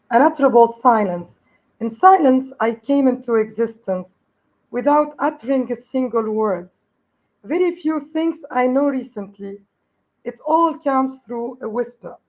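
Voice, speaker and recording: Tunisian woman declaiming a poem, high voice, clear and steady. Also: low-quality recording. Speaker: poet. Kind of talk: poetry recitation.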